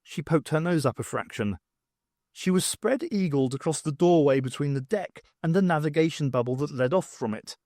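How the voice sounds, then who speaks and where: A man reading a novel aloud as an audiobook, with expressive, dramatic narration. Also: fairly high pitch, no echo, clean studio sound. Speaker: audiobook reader. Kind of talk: scripted fiction narration with acted dialogue.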